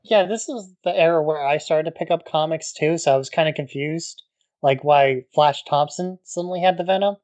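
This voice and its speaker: A man co-hosting a podcast, talking in a relaxed way with wry asides. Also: on the high side, normal speed. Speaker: podcast co-host. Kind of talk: casual podcast discussion.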